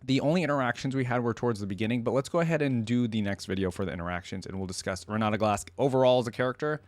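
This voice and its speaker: A man's voice: mid-range voice, rapid-fire, no echo. A man hosting a reaction video, thinking aloud in casual, meandering commentary. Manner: musing, rambling, hedging.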